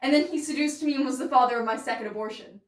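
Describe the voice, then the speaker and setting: A woman performing a stage monologue as a bitter, grieving, disillusioned woman, speaking deliberately with halting pauses in a roomy, distant recording. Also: high-pitched, lightly acted. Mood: wounded, bitter. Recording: roomy echo, distant microphone.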